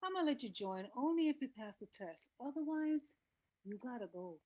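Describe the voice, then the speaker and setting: Scornful teenage mean girl, played by a woman, slightly high voice. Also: animated, moderate pace, a little mellow. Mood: mocking, commanding. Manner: scornful, commanding. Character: edgy sixteen-year-old mean girl, scornful crew leader. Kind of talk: scripted table read.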